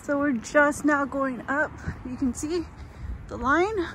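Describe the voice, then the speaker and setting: A middle-aged woman chatting casually as she walks outdoors, speaking in a halting way that trails off, recorded on a handheld phone. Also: sits high. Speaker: vlogger. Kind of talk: casual walking-tour chat. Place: outdoors walking along roadside and hilly park. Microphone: handheld phone at arm's length. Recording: loud outdoor street and wind noise.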